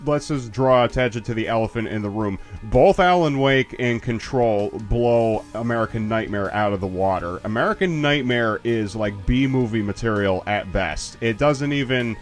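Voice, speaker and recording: A man's voice: mid-range voice, normal speed, few pauses, soft background music. A man casually chatting and joking as a commentator while a game's credits roll. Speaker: let's play commentator. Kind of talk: casual game commentary chat.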